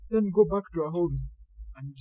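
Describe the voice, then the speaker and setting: A man's voice: a little high, moderate pace, dry recording, phone-quality sound. A man with a Kenyan accent, co-hosting a podcast in a laid-back way and mixing in Swahili slang. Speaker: podcast co-host. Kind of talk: casual podcast chat.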